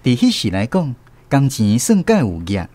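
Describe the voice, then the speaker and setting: A man with a Taiwanese accent narrating a radio drama in a folksy, expressive storytelling manner. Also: medium pitch, moderate pace.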